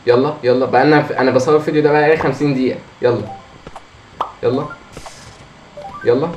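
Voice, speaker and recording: A hoarse man with an Egyptian Arabic accent, commenting on his own gameplay, muttering encouragement to himself and breaking into frustrated outbursts. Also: medium pitch, fairly even intonation, fast, a little mellow, highs cut off. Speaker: gamer. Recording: echoey room with faint noise.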